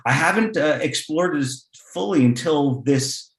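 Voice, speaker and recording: A man's voice: a little high, expressive, measured pace. Man on a panel, very echoey room. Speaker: playwright on a panel. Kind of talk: conversational panel discussion.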